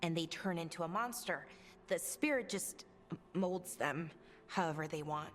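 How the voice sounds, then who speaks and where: An actress playing a gentle, anxious young companion in a video game, speaking in a halting, tentative way. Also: female voice, lowish voice, big-room sound, slight background noise, natural voice. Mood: hesitant, hopeful.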